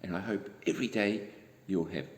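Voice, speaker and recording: A man reads a children's bedtime story in a gentle, expressive way. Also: low-pitched, brisk. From New Zealand. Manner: gentle, expressive, addressing young listeners. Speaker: storybook reader. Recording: echoey room with long reverb.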